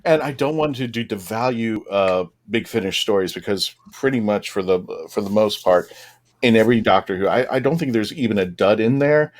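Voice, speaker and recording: A man with a fairly low voice hosts a relaxed podcast discussion. He speaks earnestly and a little haltingly, rewording his thoughts as he goes. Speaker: podcast host. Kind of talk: casual panel discussion.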